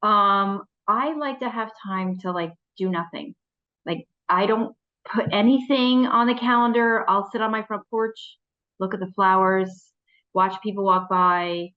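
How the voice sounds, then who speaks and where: A woman's voice: medium pitch, a little slow. An American woman from New Jersey sharing casually on an online call with dull, lo-fi sound. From New Jersey, United States. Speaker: community member sharing.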